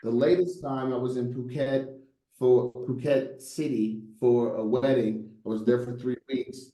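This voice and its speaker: Animated storytelling man, mellow tone. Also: mid-range voice, unhurried, reverberant, poor audio. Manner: animated storyteller, rhetorical "right?" and asides. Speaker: guest, brand designer. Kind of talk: casual interview chat.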